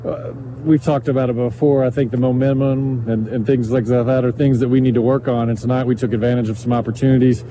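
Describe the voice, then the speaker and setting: A man's voice: mid-range voice, flat delivery, brisk, hardly any pauses, warm tone, poor audio. A middle-aged man, a soccer coach, giving a matter-of-fact, analytical post-game interview into a handheld microphone outdoors. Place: outdoors on a soccer field at night. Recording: clear outdoor field noise.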